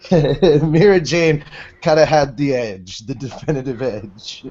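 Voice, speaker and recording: A man chats casually as a podcast co-host at a middling pitch. He rambles and doubles back on phrases to stress them. The audio is slightly dull and lo-fi. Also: takes it slowly, mellow. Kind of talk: casual banter about anime.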